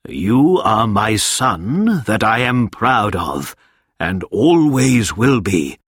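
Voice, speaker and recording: A man voicing a kindly, feeble old knight in a storybook reading, speaking gently and very slowly. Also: medium pitch, slightly rough, dry recording, clean studio sound, heavily acted. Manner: gentle, slow, earnest.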